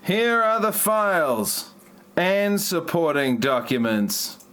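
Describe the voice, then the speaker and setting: A man's voice: fairly high pitch, sing-song, takes it slowly, background noise. A man playing an earnest, self-important novelist and hacker in an amateur parody audio play. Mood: solemn announcing.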